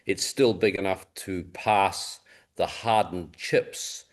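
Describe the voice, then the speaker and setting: A man with a distinctly low voice talks through technical advice. He sounds like a practical, experienced rebuilder speaking on a group call. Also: steady tone, takes it slowly. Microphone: conference call.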